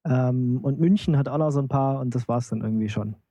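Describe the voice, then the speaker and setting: A man's voice: mid-range voice, brisk, dark tone, dry recording. A man hosting a casual radio interview, asking teasing, probing questions. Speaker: radio host.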